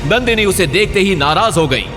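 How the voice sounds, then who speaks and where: A man's voice: sits high, lively intonation, moderate pace, in a large hall. A man giving dramatic scripted narration of an audio story, with music behind him.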